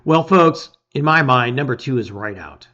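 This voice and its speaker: A man reading a gamebook aloud in expressive, emphatic storytelling, with casual asides. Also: mid-range voice, moderate pace, highs cut off.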